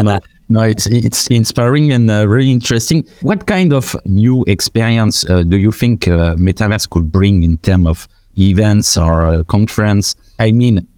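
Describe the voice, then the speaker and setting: A man's voice: fairly low pitch, warm tone, dry recording. A man moderating a panel discussion, speaking hesitantly with frequent "uh" fillers.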